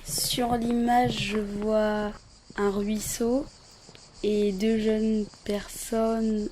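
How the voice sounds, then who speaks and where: Female describer, unhurried and monotone, plain wording, faint noise in the background. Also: medium pitch. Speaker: photo describer. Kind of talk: plain spoken description of an old photograph.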